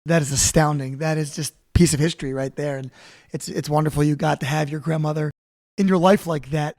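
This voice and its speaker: A man's voice: on the high side, fairly even intonation, a little fast, a little mellow, no room sound, clean studio sound. A male podcast host interviewing a guest, friendly and curious, reacting with "wow" and "incredible". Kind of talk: interview hosting.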